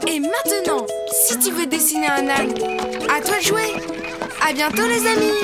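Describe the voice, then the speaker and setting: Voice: very high-pitched, animated, normal speed. A performer voicing a cheerful, helpful young child who explains things encouragingly in a preschool cartoon. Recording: music behind the voice.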